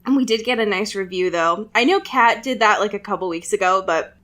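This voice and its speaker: A woman's voice: medium pitch, fairly quick, a bit bright. A young adult woman co-hosting a podcast in casual comedic banter, wry, teasing and sweary. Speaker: podcast co-host.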